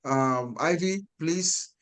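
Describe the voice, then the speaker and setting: A man's voice: a little high, slow pace, fairly bright tone. A man hosting an online round table, upbeat as he thanks speakers and hands over the floor. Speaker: host.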